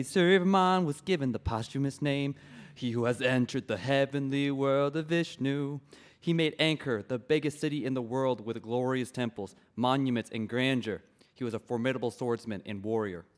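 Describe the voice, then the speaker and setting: A man's voice: medium pitch, steady tone, normal speed, lightly acted. Play reading: male actor as solemn chorus praising a king, medium voice, reverberant recording. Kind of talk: stage play table read. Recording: long echoing reverb.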